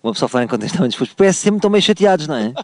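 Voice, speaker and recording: A man hosting a comic radio chat, teasing and joking with quick asides. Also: on the high side, big pitch swings, no echo, studio quality. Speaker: radio host.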